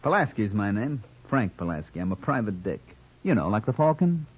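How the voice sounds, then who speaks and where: An American actor playing a sleazy, wisecracking private detective and blackmailer in an old radio crime drama, with a husky voice. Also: male voice, lowish voice, big pitch swings, a little fast, background noise. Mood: breezy, mocking. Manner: wisecracking, insinuating slang. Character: sleazy male private detective, brazen blackmailer, needling and slangy. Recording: muffled old radio recording.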